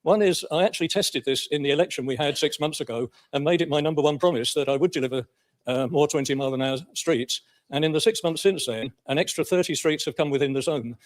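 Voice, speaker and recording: A man's voice: on the high side, quick pace. An English man speaking formally as a councillor in a debate, in a matter-of-fact way. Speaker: county councillor.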